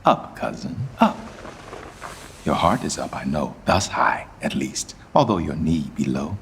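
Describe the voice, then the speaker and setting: A man's voice: a bass voice, big pitch swings, unhurried, creaky, a bit bright, a little hiss or hum, heavily acted. A man acting a grieving, bitterly ironic king facing deposition in a Shakespearean radio play, with brooding, theatrical verse delivery. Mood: resigned irony.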